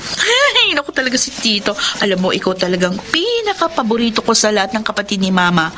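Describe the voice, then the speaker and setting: An actress playing an earnest young working wife in a Filipino radio drama, expressive and chatty. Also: female voice, on the high side, quick pace, slight background noise. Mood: grateful, playful. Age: young adult.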